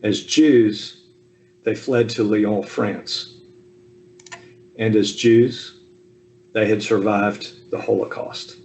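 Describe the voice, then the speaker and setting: A man in his fifties from the American South, giving a relaxed personal talk over a video call with frequent ums and asides. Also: on the low side, extremely slow, pauses often, slight background noise, poor audio. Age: 50s. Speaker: speaker at a Zoom talk. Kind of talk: personal family history talk.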